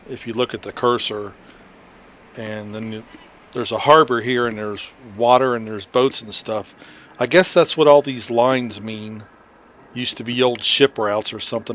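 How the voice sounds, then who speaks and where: A man's voice: medium pitch, a bit bright. A man giving casual, explanatory commentary as he hosts a game playthrough. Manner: informal, explanatory. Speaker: gaming video host. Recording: slightly dull audio, faint noise.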